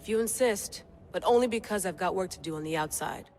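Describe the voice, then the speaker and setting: A woman's voice: on the low side, quick pace, a little mellow, faint music behind, lightly acted. A woman as a driven, wry scientist in a reverberant room. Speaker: researcher and cell leader. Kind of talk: game cutscene acting. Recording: long-reverb room with faint noise.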